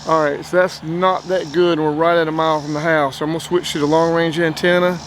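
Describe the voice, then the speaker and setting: A man with a North Carolina accent hosting a casual outdoor radio test, talking in a relaxed, chatty way and explaining as he goes. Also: fairly high pitch, a little fast, background noise. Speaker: outdoors channel host. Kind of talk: casual gear-test vlog. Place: outdoors by a car.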